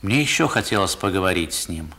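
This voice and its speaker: A man's voice: deep voice, lively intonation, quick pace, bright, forceful tone, husky, full character voice. A man playing a wistful narrator who recalls boyhood, telling the story expressively in a 1960s radio drama. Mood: wonder. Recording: old 1960s radio recording.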